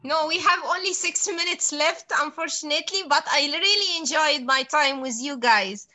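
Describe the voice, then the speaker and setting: Young female teacher, very high and bright voice, encouraging, on a video call. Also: moderate pace. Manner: encouraging, frequent praise. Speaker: English teacher. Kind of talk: online class with children. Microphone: computer mic on video call.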